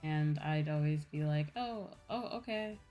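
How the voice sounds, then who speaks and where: Low-pitched woman chatting casually on stream. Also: animated, a little slow, mellow. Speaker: streamer, VTuber host chatting with viewers. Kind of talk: casual livestream chat. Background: background music.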